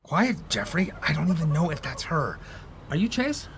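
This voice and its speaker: Actor as harried dad, radio comedy, animated, overeager, awkward, clear animal background noise. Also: male voice, fairly high pitch, moderate pace. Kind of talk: radio play acting. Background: zoo animal ambience.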